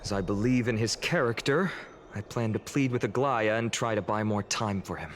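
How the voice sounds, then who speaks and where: An actor playing a gentle, earnest warrior who speaks politely and formally in a video game story. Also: male voice, on the low side, moderate pace, slight background noise, natural voice. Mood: earnest, concerned. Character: gentle, earnest male warrior worried for his comrades.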